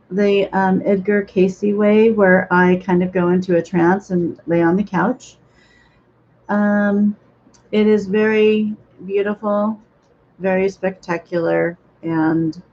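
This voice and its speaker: A hoarse woman chatting casually and a bit scattered as she hosts a livestream from her home painting room. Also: mid-range voice, darkish tone. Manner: rambling, unprepared, chatty. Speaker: livestream host. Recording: dull lo-fi sound.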